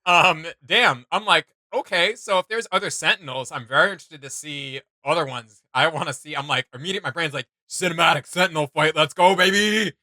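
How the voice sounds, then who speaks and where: Very bright-voiced man, casual and enthusiastic. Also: on the high side, brisk, few pauses, no room sound. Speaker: reaction video host. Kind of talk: casual, enthusiastic reaction commentary.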